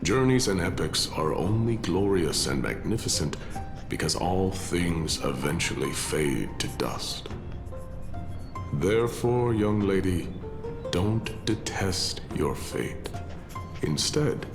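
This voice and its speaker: Weary warrior, deep pitch, gravelly fry, solemn, philosophical, grandiloquent, video game drama. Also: male voice, animated, slow pace, music in the background, exaggerated voice. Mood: solemn, resolute. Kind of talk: dramatic game voice acting, storytelling monologue.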